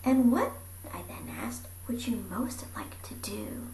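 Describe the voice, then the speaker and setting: A woman reading a rhyming picture book aloud in a theatrical, animated way, moving between hushed and projected delivery. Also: a little high, slow. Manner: theatrical, dropping into breathy whispers and calling out. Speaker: amateur storybook reader. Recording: roomy echo with faint background noise.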